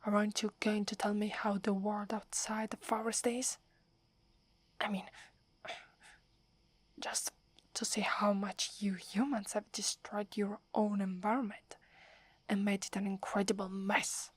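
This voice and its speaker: A woman with an Italian accent voicing a proud, wary young werewolf girl in a soft, breathy whispered roleplay, haughty but stammering when flustered. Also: mid-range voice, level tone, measured pace, long pauses, no room sound, lightly acted. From Italy.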